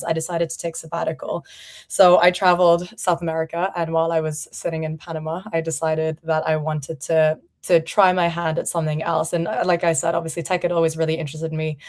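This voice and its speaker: Low, even-toned woman from Portugal. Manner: reflective, conversational, frequent "you know" fillers. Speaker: founder and CEO, guest. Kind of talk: casual interview conversation.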